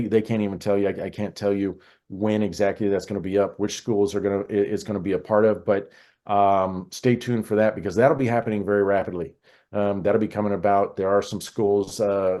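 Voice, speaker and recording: Male American interview guest, expert register, low pitch, conversational and halting. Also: darkish tone. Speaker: podcast guest, air traffic control expert.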